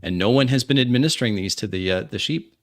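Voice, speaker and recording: A man improvising in character as a tabletop roleplaying player, deliberately mulling over his phrases aloud. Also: mid-range voice.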